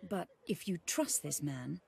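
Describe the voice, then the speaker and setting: A woman's voice: low voice, animated, measured pace, creaky, a little mellow, husky, heavily acted. A woman playing a composed older noblewoman in a fantasy video game, formal and polite with a dry wit. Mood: guarded, courteous. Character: genteel, composed older noblewoman, wry widowed mother. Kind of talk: video game character acting.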